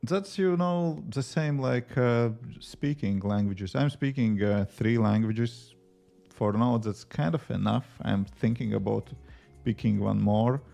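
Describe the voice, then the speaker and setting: A man's voice: mid-range voice, slow pace, creaky, hardly any pauses, dark tone, faint music behind. A man with a Latvian accent, a native Latvian speaker, chatting casually on a live coding stream, answering viewer questions between tasks with many fillers. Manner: rambling, answers chat questions while working, many fillers. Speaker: livestreaming app developer.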